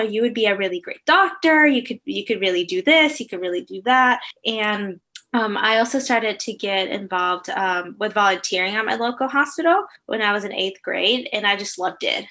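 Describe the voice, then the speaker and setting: A woman's voice: medium pitch, moderate pace, bright, forceful tone. A young woman from Colombia who speaks natively, chatting as a guest about her work as a wellness coach, with lots of "like" and "you know". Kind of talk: casual interview chat.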